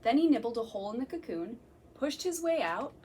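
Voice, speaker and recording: A young woman, a children's librarian, reading a picture book aloud to children in a gentle, slow, sing-song way and counting along. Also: a little high, big pitch swings, slight background noise. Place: library room.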